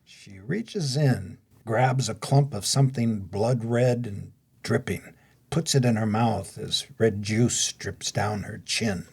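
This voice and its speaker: Husky male stage-direction narrator. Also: on the low side, takes it slowly, darkish tone. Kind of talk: scripted narration for an audio play.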